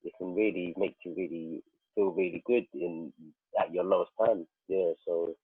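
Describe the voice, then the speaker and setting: A man speaking haltingly as a guest in a panel conversation, reflecting personally and often repeating himself as he searches for words. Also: deep voice, fairly even intonation, slightly rough. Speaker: guest, prison radio producer. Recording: roomy, phone-like narrowband call.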